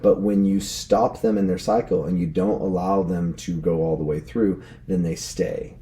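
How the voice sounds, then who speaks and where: A man who is a guest on an interview, explaining his ideas in a calm way. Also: deep voice, moderate pace. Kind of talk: casual conversational interview.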